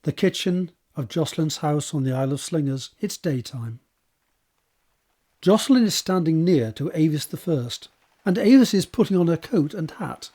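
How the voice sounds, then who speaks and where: A man's voice: fairly high pitch, expressive, normal speed, warm tone. A man reading scripted stage directions as the narrator of an audio drama.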